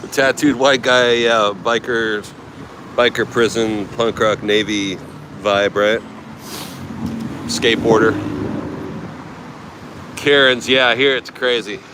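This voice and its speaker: A man's voice: mid-range voice, steady tone, slow pace, long pauses. A middle-aged American man from California, rambling in a laid-back way as he livestreams and answers his viewers. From United States, California. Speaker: travel livestreamer. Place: outdoors at a night market by the beach. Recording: noisy outdoor street recording.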